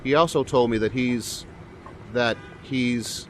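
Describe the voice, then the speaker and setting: A man's voice: mid-range voice, steady tone, natural voice. A man playing a sheepish, deferential police sergeant who speaks hesitantly, in an audio drama. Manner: hesitant, halting. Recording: faint outdoor street and vehicle noise.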